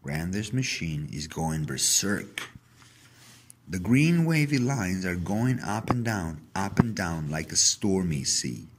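A man narrating a children's story aloud in an expressive, dramatic way. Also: deep voice, slow pace, slight background noise.

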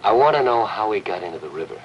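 A hard-boiled, world-weary newspaper reporter, low-voiced and dry. He talks with sardonic persistence in 1950s noir style, over the faint noise of an old film soundtrack. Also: sing-song, fast talker, lo-fi sound. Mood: persistent. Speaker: actor playing a newspaper reporter. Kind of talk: 1950s film noir television drama acting.

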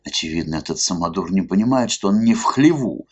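A man's voice: medium pitch, lively intonation, moderate pace, gravelly, darkish tone, dull audio, pitched above the performer's own voice. A man voicing an indignant, bespectacled club official, flustered and pompous in his outrage. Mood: outraged.

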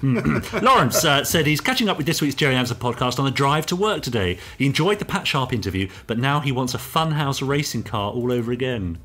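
A man's voice: medium pitch, animated, brisk, hardly any pauses, pushed and bright, slightly rough. A man co-hosting a podcast in casual chat, full of playful teasing banter. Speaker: podcast co-host.

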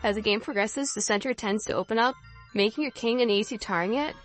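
A woman's voice: on the high side, a little fast, faint music behind, close to an everyday voice. A woman voicing a friendly, encouraging schoolgirl who leads a chess class in a visual novel, in an instructive manner. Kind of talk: reading visual novel dialogue aloud.

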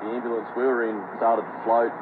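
Australian male interviewee, quick and monotone, on tinny shortwave radio. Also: medium pitch, background noise. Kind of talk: recounting an experience in interview.

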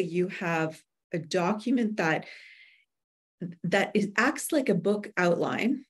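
A woman in her forties with a fairly low voice talks as a guest expert on an online webinar. Her delivery is measured and instructive, and she is speaking over a video call from a room indoors. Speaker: guest expert, book publisher. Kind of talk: explanatory webinar talk. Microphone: video call microphone.

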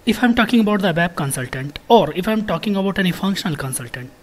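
A software trainer giving an informal technical lecture, explaining in a halting, repetitive way with frequent check words. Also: mid-range voice, expressive, a little hiss or hum.

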